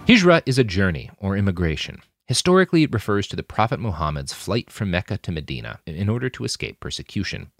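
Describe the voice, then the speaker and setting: A man narrating a documentary podcast in a measured, storytelling manner. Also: sits low, very animated, creaky, no echo, clean studio sound.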